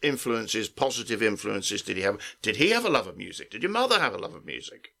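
A man hosting an interview, speaking haltingly with frequent restarts and stammers. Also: lowish voice, very animated, creaky, sharp tone. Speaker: interviewer host.

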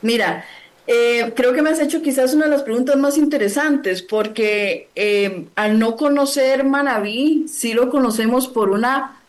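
A woman's voice: a little high, a little slow. A woman from Costa Rica, a gastronomy researcher, answering interview questions over a video call in a friendly, fluent way with many fillers.

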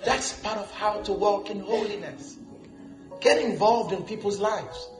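High-voiced male pastor preaching. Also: unhurried. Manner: preaching with asides and laughter. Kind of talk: lively sermon. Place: church. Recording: echoey church with music.

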